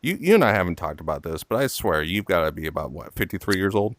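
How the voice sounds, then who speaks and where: A man's voice: deep voice, expressive, fast talker. A man hosting a casual golf game with friends, telling crude jokes and laughing often. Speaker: host and golf player. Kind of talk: casual gaming banter.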